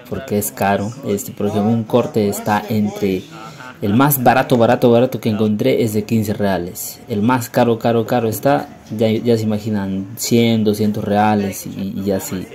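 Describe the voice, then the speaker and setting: Mellow young man, rambling. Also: on the low side. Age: young adult. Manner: rambling, hesitant, repeats words and phrases. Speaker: vlogger. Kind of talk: casual voice-over narration for a travel vlog.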